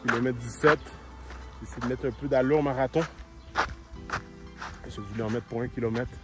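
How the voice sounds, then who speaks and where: A man's voice: mid-range voice, steady tone, normal speed, music in the background. A man with a Quebec French accent vlogging to his phone while running outdoors, sick and out of breath. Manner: talking to the camera mid-run, with English slang mixed in. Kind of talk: casual running vlog. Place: outdoors on a road. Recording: loud outdoor noise.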